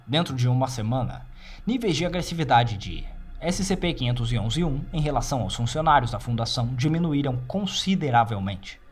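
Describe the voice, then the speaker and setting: A man with a Brazilian accent narrating a scripted fictional report in a measured, formal, clinical manner. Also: mid-range voice, slight background noise. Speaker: narrator of horror fiction files.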